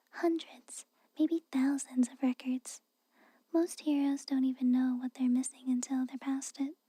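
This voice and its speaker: A young tsundere castle maid, acted by a woman, whispers close and dry in an ASMR fantasy roleplay. She is haughty and guarded, chiding with reluctant care. Her breathy voice is very mellow and unhurried, with hesitant pauses. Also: sits high, no room sound.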